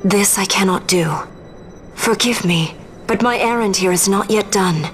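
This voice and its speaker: A woman's voice: mid-range voice, unhurried, close to an everyday voice. Lady doing a proud serious game princess, formal, mid voice, bit of music behind. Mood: solemn, determined. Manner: grave, formal. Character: resolute, solemn young princess, proud and burdened. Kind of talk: video game cutscene acting.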